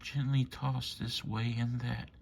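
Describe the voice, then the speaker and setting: Slow, gravelly man reading bedtime rhymes. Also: mid-range voice, flat delivery, a little hiss or hum. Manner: rhythmic, measured delivery of rhyme. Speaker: storybook reader. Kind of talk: bedtime story read-aloud.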